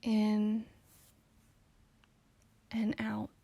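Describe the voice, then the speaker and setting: A woman guiding a meditation in a calm, soothing, gently instructive way, with soft phrases that trail toward a whisper. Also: medium pitch, very slow, gravelly, dry recording. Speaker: meditation guide. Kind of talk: slow guided meditation narration.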